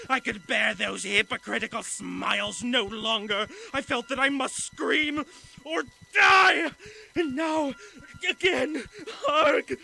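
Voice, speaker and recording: High-pitched, creaky, unhinged murderer. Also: male voice, heavily acted, poor audio. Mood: shrieking agony. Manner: theatrical, deliberate, swinging between hushed confiding and shrieking. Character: mad, guilt-ridden murderer confessing, boastful then frantic. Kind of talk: dramatic audio drama monologue of gothic horror.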